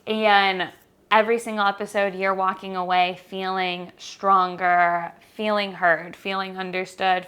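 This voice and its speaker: A woman's voice: medium pitch, pushed and bright, smooth. A young woman from Michigan with an American accent, hosting a solo podcast in an earnest, encouraging, personal way. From Michigan, United States. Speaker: podcast host.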